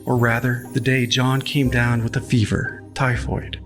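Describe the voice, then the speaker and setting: A man reading scripted literary fiction as a podcast narrator, with a measured storytelling delivery. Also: medium pitch, little pitch movement. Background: music, guitar.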